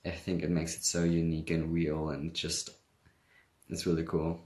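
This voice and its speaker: A man's voice: sits low, completely flat delivery, moderate pace, a little mellow. A young man from Hamburg with a German accent, chatting casually on a livestream, reading viewer comments and laughing often. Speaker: livestream host.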